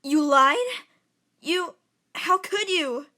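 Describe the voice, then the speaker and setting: A woman voicing an anxious young woman passenger in an audio drama. Her voice is high and slow, and she sounds anxious and accusing. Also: sharp tone, full character voice. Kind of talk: amateur audio drama acting.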